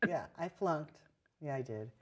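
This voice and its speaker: An older woman from New York, a guest artist telling stories of her life in a relaxed, chatty interview. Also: deep voice, a little slow. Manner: chatty storyteller, animated quoting. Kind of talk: conversational interview.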